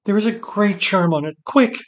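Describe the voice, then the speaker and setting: Desperate, imperious witch lady, done by a man: raised pitch, urgent commands, fantasy audiobook. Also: moderate pace, lightly acted.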